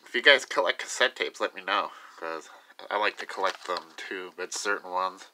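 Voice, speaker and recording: Low-voiced young man, noisy phone recording. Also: normal speed, sharp tone. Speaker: video maker showing a collection. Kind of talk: casual unscripted show-and-tell. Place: small cluttered room at home. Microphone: handheld phone.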